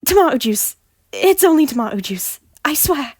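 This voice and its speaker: A woman's voice: high-pitched, sing-song, moderate pace, a little mellow. A woman voicing an anxious young Scottish prince with a worried, apologetic manner. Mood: flustered. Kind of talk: fan audio drama acting.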